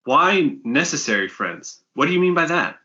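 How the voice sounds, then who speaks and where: A man's voice: fairly high pitch, sing-song, moderate pace, sharp tone, highs cut off. A man reading an earnest, curious newcomer among pirates in a comic, plain and inquisitive.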